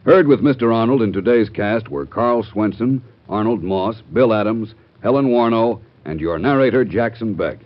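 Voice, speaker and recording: A man's voice: lowish voice, normal speed, few pauses. A man with an American accent announcing credits from a script in mid-century radio style. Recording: muffled vintage radio broadcast recording.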